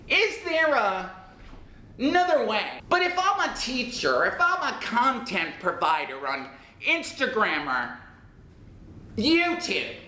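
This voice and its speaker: A middle-aged man playing his own chatty, self-doubting cousin, an English learner, talking to camera in a small room. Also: heavily acted. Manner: animated, gestures a lot, repeats and restarts. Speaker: English teacher in character. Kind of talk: playful character monologue to camera. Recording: roomy echo, faint rumble.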